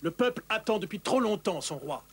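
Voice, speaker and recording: An actor playing a bold, confident outlaw leader of the forest, declaiming in a French cartoon dub. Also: male voice, high voice, expressive, a little fast, breathy edge. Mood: grave.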